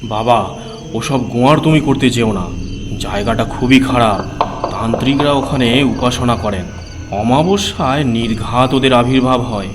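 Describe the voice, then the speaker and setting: A man's voice: mid-range voice, moderate pace, lightly acted. An actor playing a cautious, pious uncle who pleads and warns a young man against danger. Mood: worried. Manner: pleading, warning. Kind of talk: animated horror story dialogue. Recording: music behind.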